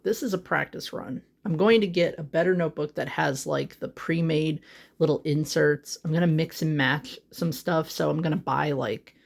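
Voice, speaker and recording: Young adult vlogger, home office, handheld phone. Loose, rambling and self-conscious, heavy on fillers, with a gravelly fry. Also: medium pitch. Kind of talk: casual chat. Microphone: phone held in hand.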